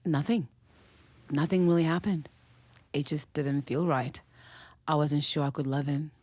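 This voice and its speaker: A woman playing a candid, hesitant young woman talking softly with a new lover in an intimate, naturalistic audio drama. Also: low-pitched, steady tone, unhurried, dry recording. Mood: hesitant, reflective.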